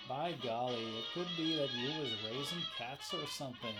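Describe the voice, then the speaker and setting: An actor playing a folksy, garrulous shopkeeper who is eager to please, in a 1930s radio drama. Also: male voice, mid-range voice, moderate pace, loud music behind the voice, natural voice. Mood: puzzled, obliging. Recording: vintage 1930s radio recording.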